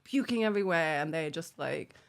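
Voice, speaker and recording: A woman's voice: low-pitched, lively intonation, fairly quick, creaky. A middle-aged woman from Finland with a Finnish accent, talking frankly about her recovery as a podcast guest and laughing often between phrases. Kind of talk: candid personal recovery story.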